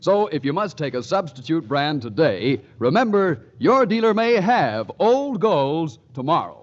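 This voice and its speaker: Man, 1940s radio announcer, hearty, lively and bright-pitched, dull broadcast. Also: measured pace, slight background noise. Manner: hearty, salesy. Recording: dull old radio broadcast, faint music.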